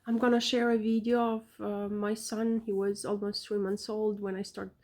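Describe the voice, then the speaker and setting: A woman's voice: medium pitch, level tone, moderate pace, a little mellow. A woman with an Albanian accent, a native Albanian speaker, giving earnest parenting advice in a casual vlog with careful non-native phrasing. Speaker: parent vlogger.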